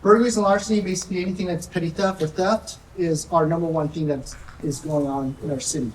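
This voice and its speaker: A woman, a sheriff's deputy, giving a community safety presentation in a soft-spoken, nervous, chatty way. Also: lowish voice, steady tone, fast talker. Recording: echoey room, distant mic, faint noise.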